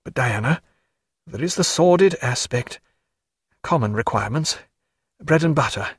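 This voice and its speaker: A man's voice: mid-range voice, expressive, unhurried, slightly rough, no echo, clean studio sound. A man voicing a proud, feverish ship's surgeon who speaks tenderly and wryly.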